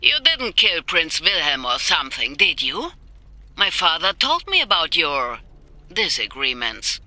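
A woman's voice: low-pitched, huge pitch swings, measured pace, pushed and bright, dry recording, exaggerated voice. An actress playing a cheeky, plucky young woman who works as a mech engineer in a video game. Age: young adult. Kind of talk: video game cutscene acting.

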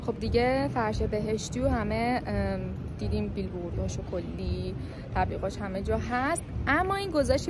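A young woman vlogging from inside a large factory hall, chatty and excited, laughing often. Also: a little high, noisy background. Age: young adult. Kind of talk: casual vlog report. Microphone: handheld phone camera close up.

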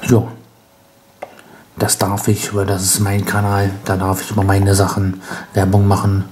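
A man's voice: low-pitched, monotone, unhurried, a little mellow, a little hiss or hum. A man with a cold, sounding stuffy, rambling wryly as he hosts a casual gameplay commentary. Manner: rambling, self-mocking, wry. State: congested with a cold. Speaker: let's play host.

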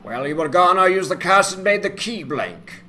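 Man voicing an excitable, animated dwarf smith. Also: high-pitched, normal speed, a little hiss or hum, full character voice. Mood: excited, jolly. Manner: exclamatory, gleeful. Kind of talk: fantasy game dramatization.

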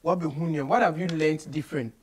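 A Ghanaian man with a high-pitched voice and a Ghanaian accent hosts a relaxed interview. He moves freely between Twi and English in a casual, conversational style. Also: a little fast, a little hiss or hum. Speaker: radio show host. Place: small studio office, indoors.

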